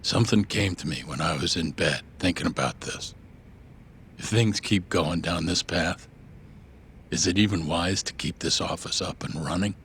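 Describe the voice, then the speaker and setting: Low-voiced, stern older office boss. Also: male voice, moderate pace, fairly bright tone, slight background noise. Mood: grieving, weary. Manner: stern, blunt, fatherly. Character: weary, grieving older male law-office boss, gruff and resolute. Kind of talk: dramatic video game voice acting. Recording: background music under the dialogue.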